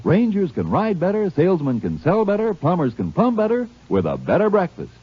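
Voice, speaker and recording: A folksy American male pitchman from 1950s radio, highly animated and fairly high in pitch. His husky voice sells hard and punchily over a muffled, dry recording. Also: a little fast, dry recording. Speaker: radio commercial announcer.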